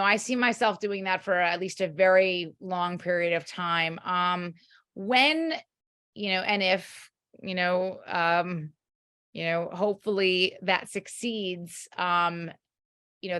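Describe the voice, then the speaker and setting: A middle-aged woman, a doctor and author, talking as a podcast guest in an earnest, halting way. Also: lowish voice, a bit bright, clean tone, no echo. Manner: hesitant, many fillers and restarts, earnest. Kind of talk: casual interview chat.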